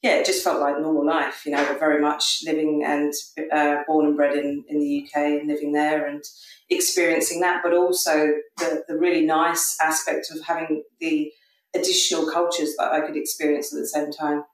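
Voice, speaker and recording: A woman in her thirties with a London accent speaks hesitantly, picking her words with plenty of ums and soft restarts. She sounds far from the microphone, and her voice rings in an echoey room. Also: low voice, completely flat delivery, normal speed. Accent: London English. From England. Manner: hesitant, thoughtful, many fillers. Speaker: guest. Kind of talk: casual conversation. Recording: distant mic in an echoey room.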